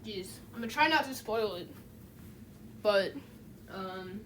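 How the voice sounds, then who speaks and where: A woman giving casual, unscripted video essay commentary in a halting, rambling way, often restarting her phrases. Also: medium pitch, lively intonation, slight background noise, poor audio.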